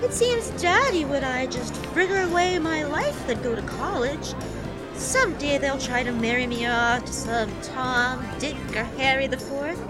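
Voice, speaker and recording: High, swooping young flapper socialite. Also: female voice, expressive, unhurried, loud music behind the voice. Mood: breezy, flippant. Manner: breezy, teasing, theatrical. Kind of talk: period radio drama dialogue.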